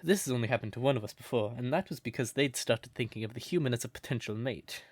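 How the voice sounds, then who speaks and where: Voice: medium pitch, fast talker, no echo, lightly acted. A performer voicing a shy, smitten crow turned human in an intimate comfort roleplay, hesitant and stammering, naively earnest. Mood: puzzled, amused.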